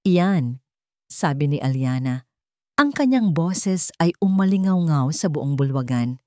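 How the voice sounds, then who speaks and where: A woman's voice: low voice, animated, measured pace, warm tone, no room sound, clean studio sound. A woman with a Filipino accent reading a scripted romance drama as a story narrator.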